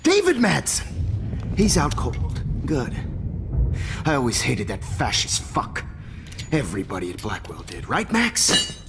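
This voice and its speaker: An actor in a video game playing a smug, menacing photography teacher who talks down to his captive, often dropping into a hushed whisper. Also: mid-range voice, huge pitch swings, measured pace, long pauses. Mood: agitated, contemptuous. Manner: condescending, drawling, slipping into hushed whispers. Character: smug, menacing male photography teacher and kidnapper, coldly controlling. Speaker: villain. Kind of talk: cinematic video game acting. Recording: hall-like reverb, clear background noise.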